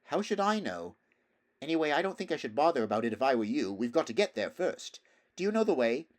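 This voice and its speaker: A weary young runaway boy from a storybook, acted by a man, speaking up in a raised pitch. He is blunt and plain-spoken, quick to protest. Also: big pitch swings. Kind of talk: storybook character voice.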